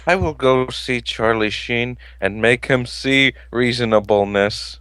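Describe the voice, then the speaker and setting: A man's voice: mid-range voice, measured pace, a bit bright, clear, resonant tone, dry recording, lightly acted. A man voicing an earnest, heroic male cartoon cat in an amateur fan fiction reading, with stiff, solemn delivery.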